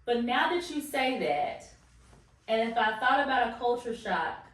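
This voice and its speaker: A woman's voice: a little high, lively intonation, measured pace, a bit bright. A woman from Memphis, hosting a casual interview with eager, enthusiastic reactions. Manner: enthusiastic, laughs often. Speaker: host. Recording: echoey room, distant mic.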